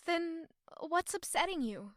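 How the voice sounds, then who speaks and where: A woman's voice: extremely high pitch, expressive, unhurried, dry recording, clean studio sound. A woman playing a caring young woman in an audio drama, earnest in her delivery. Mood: concerned.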